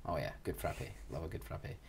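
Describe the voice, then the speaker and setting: A man's voice: rumbling low, animated, a little fast, husky. A young man with a Glaswegian accent, chatting enthusiastically about student union events in an interview, with a self-deprecating humor. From Glasgow, Scotland. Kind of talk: casual interview answers.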